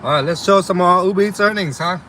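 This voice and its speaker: A young man with a slight Vietnamese accent talks in a loose, rambling way to live viewers, with plenty of ums and uhs. His voice is bright and forward. Faint city and traffic sounds hang in the background. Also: sits high, fast talker. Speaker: delivery driver streaming to viewers. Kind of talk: casual live chat reading viewer comments.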